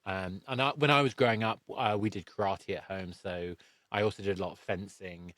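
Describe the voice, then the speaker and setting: A man's voice: low-pitched, fairly even intonation, fairly quick, a bit bright, no echo, clean studio sound. A middle-aged man hosting a casual interview, chatty and rambling, often rephrasing mid-sentence. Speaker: podcast host.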